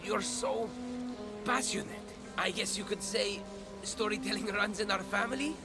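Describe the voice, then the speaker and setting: A man plays a smug young soldier in a game cutscene. His voice is high for a man, glib and sarcastic, quick to turn defensive, with music behind it. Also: steady tone, lightly acted. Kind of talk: video game cutscene acting.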